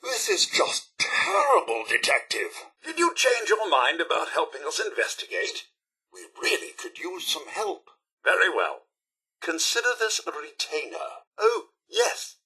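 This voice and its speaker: Prim, fussy robot butler. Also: male voice, high voice, wildly swooping pitch, measured pace, creaky, sharp tone, slightly rough, full character voice. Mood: anxious, courteous. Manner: prim, formal, mannered butler diction. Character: polite, fussy, anxious male robot butler. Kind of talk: scripted video game character dialogue.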